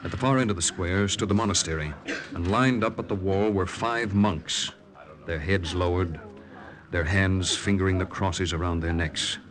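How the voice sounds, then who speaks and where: An actor playing an earnest young American undercover lieutenant, with an American accent, in 1940s radio drama style. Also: male voice, low voice, normal speed, a crowd in the background, close to an everyday voice. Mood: narrating. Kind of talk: 1940s radio acting and first-person narration. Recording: vintage radio with music behind.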